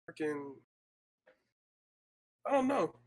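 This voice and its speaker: A man in his late twenties talking as a podcast guest, his voice a bit higher than most men's. He speaks slowly and hesitantly, filling pauses with ums and uhs. The sound is dry. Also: big pitch swings, a little mellow, no echo. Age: 20s. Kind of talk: casual podcast chat.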